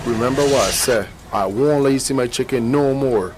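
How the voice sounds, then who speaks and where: A man's voice: medium pitch, moderate pace, background noise, close to an everyday voice. Sly Caribbean teenage lad, acted by a man. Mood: sly coaxing. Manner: coaxing, conspiratorial. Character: sly older teenage boy grooming a younger child. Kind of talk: radio drama acting.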